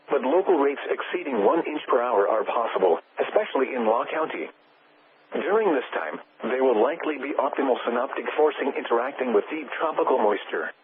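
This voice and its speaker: A man reading an automated weather radio bulletin in a flat, even manner. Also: medium pitch, a little hiss or hum. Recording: tinny radio broadcast.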